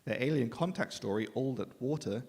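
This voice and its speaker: A man's voice: medium pitch, animated, a little fast, a little mellow. A man hosting a live literary event, making informal, joking, self-deprecating announcements between acts. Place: indoor live event venue in a reverberant hall. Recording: echoey hall with clear background noise.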